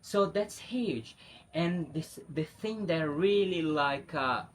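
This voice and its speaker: A man's voice: on the high side, animated, slow pace, slight background noise. A man from Brazil with a Brazilian accent, speaking English as an interview guest, chatty and spontaneous with many fillers and restarts. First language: Portuguese. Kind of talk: casual interview.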